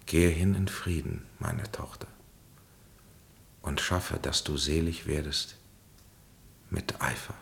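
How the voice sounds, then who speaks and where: A grave, fatherly spiritual counselor in a radio drama. His voice sits very low with a gravelly creak, and he phrases things in a measured, oracular way. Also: a bit bright, exaggerated voice. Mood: solemn blessing. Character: solemn, fatherly male spiritual guide and counselor, Henry. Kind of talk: German radio play acting.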